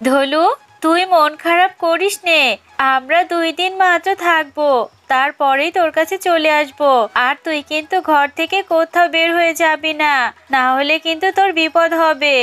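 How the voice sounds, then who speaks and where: A woman voicing a caring, anxious mother fox in a children's animated fairy tale, pleading and gently admonishing. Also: very high voice, normal speed. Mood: caring, instructing.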